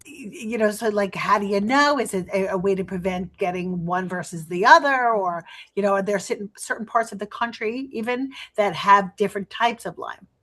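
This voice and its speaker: A woman's voice: mid-range voice, moderate pace, few pauses, a bit bright. A woman, a participant on a group video call, chatting in a rambling way with many fillers. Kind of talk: casual chat.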